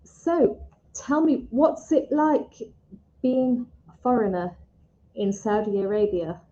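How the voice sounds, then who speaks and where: A British woman speaks in a fairly high, mellow voice, very slowly and simply, as a tutor encouraging a learner. The style is casual one-to-one lesson talk, heard over a slightly dull online call. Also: animated. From Britain. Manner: slow, simple, encouraging teacherly phrasing. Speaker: English conversation tutor. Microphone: video call. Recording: muffled online video call audio.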